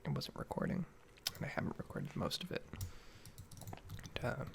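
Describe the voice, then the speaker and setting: A man giving a halting, rambling ASMR gaming update in a hushed, breathy, mostly whispered voice, slightly nasal from getting over an illness. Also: mid-range voice, huge pitch swings, slight background noise. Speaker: gaming ASMR video creator.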